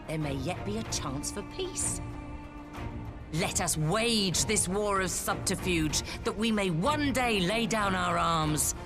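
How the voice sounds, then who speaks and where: A woman's voice: mid-range voice, lightly acted. A woman playing a stern, resolute admiral in a video game cutscene, with authoritative, old-fashioned formal speech.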